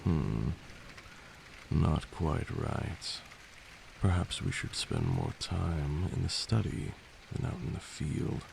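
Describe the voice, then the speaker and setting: A man playing a patient, stern vampire lord who teaches magic in a measured, formal ASMR roleplay. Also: very deep voice, big pitch swings, vocal fry, noisy background, full character voice. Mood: wry, observing.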